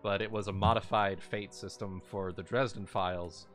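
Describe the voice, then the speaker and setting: A man's voice: on the low side, steady tone, moderate pace, fairly bright tone, faint music behind. A man in a casual tabletop gamers' chat, talking informally and swearing freely. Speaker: tabletop role-playing game player.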